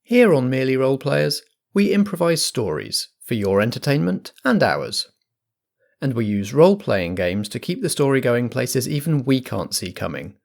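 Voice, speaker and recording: Male presenter, mid-pitched, measured theatrical delivery, scripted podcast host narration. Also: sing-song, vocal fry. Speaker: host and compere of a role-play podcast.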